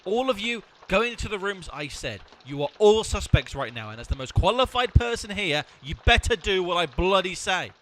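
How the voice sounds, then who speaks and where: An actor playing a bossy, sarcastic amateur sleuth in a comic audio drama. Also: male voice, high voice, sing-song, normal speed, few pauses, a bit bright.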